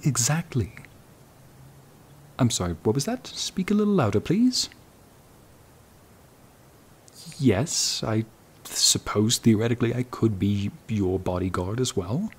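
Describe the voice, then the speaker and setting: A man's voice: medium pitch, big pitch swings, unhurried, long pauses, breathy edge. A man acting in an audio roleplay as an uptight, snooty combat instructor who banters bossily and flirtatiously with a royal pupil. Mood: patient, lecturing. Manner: mildly bossy, bantering, flirtatious.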